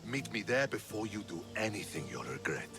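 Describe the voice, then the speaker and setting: Calm, lively-toned Spartan commander. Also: male voice, fairly low pitch, fairly quick, loud music behind the voice. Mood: measured. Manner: calm, persuasive. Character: measured, principled male Spartan commander. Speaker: Spartan general.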